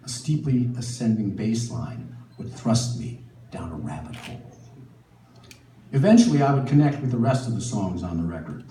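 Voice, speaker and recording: A man's voice: lowish voice, big pitch swings, moderate pace, warm tone. Middle aged american guy reading his memoir live, roomy echo, bit far from the mic. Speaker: author reading from own book. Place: live event in a gallery. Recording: echoey live room, distant mic, faint noise.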